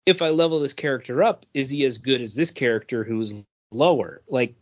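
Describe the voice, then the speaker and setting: A man's voice: mid-range voice, a little fast, no room sound, dull audio. A man co-hosting a podcast in casual chat, thinking aloud and filling with many "like"s. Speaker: podcast co-host.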